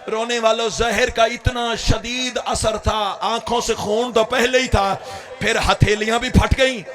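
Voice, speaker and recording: A man's voice: extremely high pitch, a bit bright. A man delivering an impassioned mourning sermon, crying out and wailing to the mourners. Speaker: religious orator.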